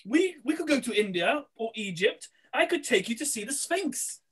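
A man's voice: extremely high pitch, very animated, normal speed, a bit bright, husky, heavily acted. A young man playing a scheming, theatrical villain in an amateur pantomime read-through on a video call. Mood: wheedling. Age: young adult.

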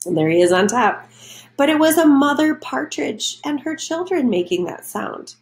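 A woman's voice: high-pitched, sing-song, moderate pace. A woman reading a children's storybook aloud, adding explanations and questions for young listeners.

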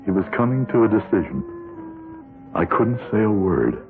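Deep-voiced, guilt-ridden American accountant. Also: male voice, very animated, moderate pace. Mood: brooding. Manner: hesitant, brooding. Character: outwardly respectable accountant hiding guilt, anxious and calculating. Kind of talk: 1970s radio drama acting, with inner monologue. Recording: muffled old radio broadcast with music behind.

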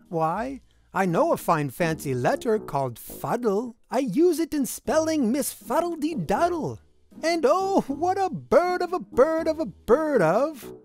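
High-voiced, animated woman reading a storybook. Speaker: storybook reader. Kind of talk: expressive read-aloud of a rhyming children's picture book. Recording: music behind the voice. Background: music.

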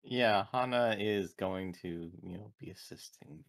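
A man's voice: fairly low pitch, measured pace, vocal fry. A man playing a tabletop game, joking casually as he describes what his character does. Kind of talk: casual joking roleplay chat.

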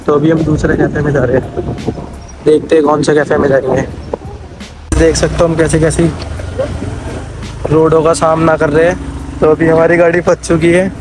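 Higher-voiced North Indian man, flat delivery, music behind. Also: moderate pace, pauses often, rough recording. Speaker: vlogger hosting an outing with friends. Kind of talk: casual travel vlog chat to camera.

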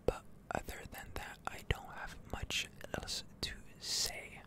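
A game streamer speaking in a breathy, unvoiced whisper, giving soothing ASMR commentary with drawn-out words. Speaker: video game streamer and channel host.

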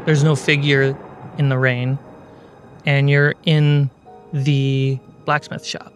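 A man narrating a tabletop game as game master, telling the story deliberately and dramatically with pauses. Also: mid-range voice, level tone. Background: background music.

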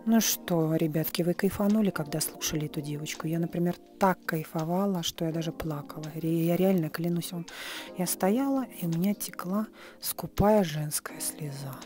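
A middle-aged woman, a little hoarse, giving a chatty, frank hotel and city tour on her phone, often dropping into whispery asides. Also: fairly low pitch, moderate pace, mellow, soft background music. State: slightly hoarse. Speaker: vlogger, psychologist. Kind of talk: casual travel vlog, room tour. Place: hotel and city streets in and out of doors.

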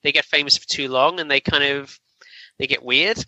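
Male podcast host, opinionated and informal, high-ish and strikingly bright, close dry sound. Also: fairly even intonation, moderate pace, no room sound, poor audio. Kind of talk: casual film review chat.

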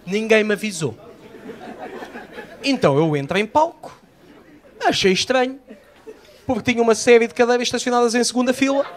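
A man in his thirties with a European Portuguese accent, doing a live stand-up routine on a small outdoor stage at night. He tells animated stories into a handheld microphone and acts out the voices he quotes. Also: very high-pitched, a little fast, long pauses, a faint crowd. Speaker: stand-up comedian.

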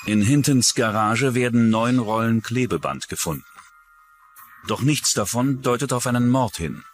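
A man's voice: medium pitch, moderate pace. A man narrating a true-crime documentary in a scripted style. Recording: music under the voice. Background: background music.

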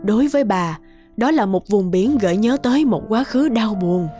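A woman voicing a worried middle-aged mother in an animated folk tale, speaking in a measured, grave way over music. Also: mid-range voice, voice pushed down, lightly acted. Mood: grave, sorrowful. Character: worried middle-aged mother recounting a sad family past. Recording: music under the voice.

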